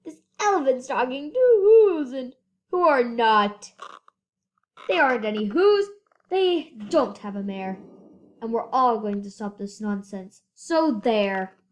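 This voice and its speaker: A female reader voicing rowdy jungle monkey brothers, scornful and blunt as they shout. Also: a little high, animated, takes it slowly, slight background noise. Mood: scornful, loud.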